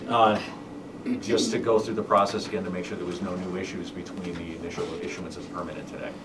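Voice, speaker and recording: A man, a police chief testifying at a public board hearing, speaking haltingly with many fillers and restarts. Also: low-pitched, level tone. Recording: distant room mic with background noise.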